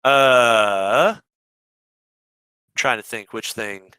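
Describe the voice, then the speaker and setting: Bright, low-voiced man in casual chat. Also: normal speed, no echo. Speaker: podcast guest, streamer.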